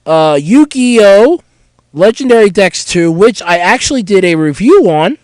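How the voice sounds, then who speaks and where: A young man hosting a casual solo podcast while sick with a cold, hoarse and stuffy, rambling candidly about his week. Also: high-pitched, sing-song, a little slow, darkish tone, no echo. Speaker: podcast host.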